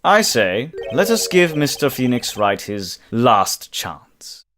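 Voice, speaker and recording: A man's voice: mid-range voice, big pitch swings, slow. A man playing a haughty, composed prosecutor who speaks formally and smugly in a courtroom game fandub. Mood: coolly dismissive. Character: haughty, composed male prosecutor, coolly smug. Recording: music clearly behind the voice.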